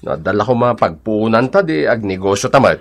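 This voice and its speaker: Lively, jovial Ilocano buddy. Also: male voice, fairly low pitch, brisk. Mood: persuasive. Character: upbeat, persuasive male buddy and fellow worker. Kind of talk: Ilocano radio comedy drama acting.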